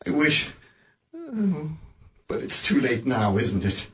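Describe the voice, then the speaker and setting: An actor playing a bitter, miserly old man in a radio play, speaking in a halting, stammering way. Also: mid-range voice, wildly swooping pitch, distant mic, exaggerated voice. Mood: regretful.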